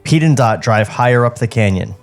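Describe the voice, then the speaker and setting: A man's voice: medium pitch, lively intonation, brisk, soft background music. A man co-hosting a podcast, casually reading screenplay directions and chatting in a comedic table read.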